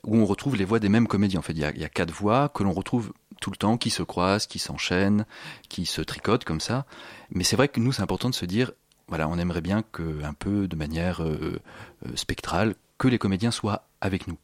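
A man's voice: sits low, brisk, dry recording. A man answering as an interview guest, hesitant and often rephrasing mid-sentence. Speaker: guest, audio fiction creator. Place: radio interview in a dry-sounding space.

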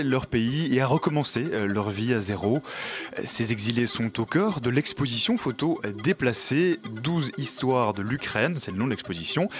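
Male radio host introducing a report. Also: mid-range voice. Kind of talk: radio show presenting.

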